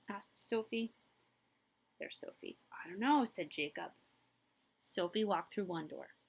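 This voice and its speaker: A woman reading a children's picture book aloud as a librarian, expressively, pausing for asides to her listeners. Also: on the low side, gravelly, breathy edge. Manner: animated, checks in with listeners.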